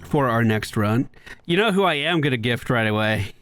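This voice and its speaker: A man's voice: medium pitch, animated, normal speed, a bit bright, clear, resonant tone. A man giving casual, playful video game commentary, explaining things expressively as he plays. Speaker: video game player and host.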